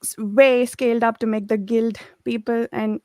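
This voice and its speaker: A woman's voice: a little high, level tone, moderate pace, dark tone, dry recording, clean studio sound. A woman in a casual book discussion, thoughtfully piecing her ideas together as she speaks.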